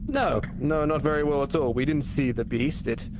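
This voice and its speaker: A man playing an earnest, disapproving expedition veteran in a medieval fantasy roleplay, speaking formally and deliberately over online voice chat. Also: on the high side, natural voice. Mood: grim. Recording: noisy live online voice chat.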